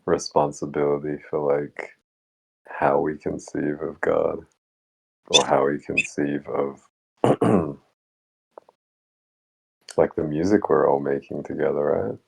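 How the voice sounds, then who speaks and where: Male discussion participant, extremely low and creaky, casual, reflective, halting delivery. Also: fairly even intonation, rough recording. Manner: halting, searching for words. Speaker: book club discussion participant.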